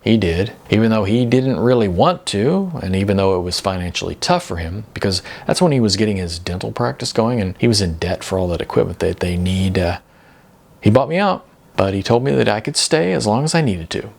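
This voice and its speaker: A world-weary older man, played by a male audiobook reader, low and gravelly. He is laconic and easygoing, pausing as he explains himself. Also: expressive. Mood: resigned. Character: laid-back, world-weary older man living modestly. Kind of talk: audiobook character dialogue.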